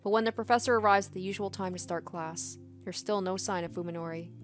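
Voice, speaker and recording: A woman narrating a visual novel aloud as a let's player, expressive, with casual commentary. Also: mid-range voice, quick pace. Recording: background music under the voice. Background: game music.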